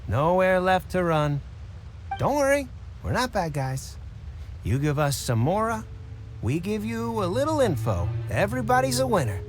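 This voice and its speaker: An actor playing a smarmy, pushy small-time swindler boss in an anime game, wheedling and theatrical. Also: male voice, sits high, big pitch swings, normal speed, a little hiss or hum. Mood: smug, menacing. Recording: loud music behind.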